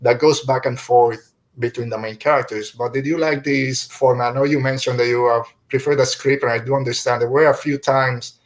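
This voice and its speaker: A middle-aged man hosts a podcast in a casual, conversational way. He is a native Spanish speaker, with a medium-pitched voice, talking through a review. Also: fairly even intonation, brisk. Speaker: podcast host.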